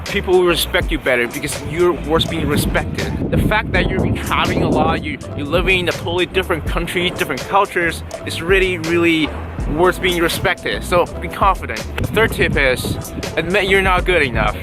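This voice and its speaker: A man's voice: fairly high pitch, moderate pace, music in the background. A young man from China with a Chinese accent, a Mandarin native speaker, giving upbeat, emphatic advice in a casual vlog, repeating words for stress. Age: young adult. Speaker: vlogger giving tips to students. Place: outdoors on a city street.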